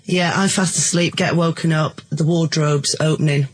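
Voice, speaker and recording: A woman's voice: sits low, fairly even intonation, normal speed, a little hiss or hum. An earnest, emphatic British woman recounting a personal experience as a guest in a radio interview.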